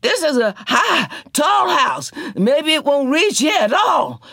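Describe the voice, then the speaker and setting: A frightened young woman, as a male reader puts her on, pleads breathlessly with her companions. Her voice sits up high and strained, with a gravelly edge. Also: very animated, slow pace, a bit bright, dry recording, studio quality, full character voice. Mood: frightened pleading.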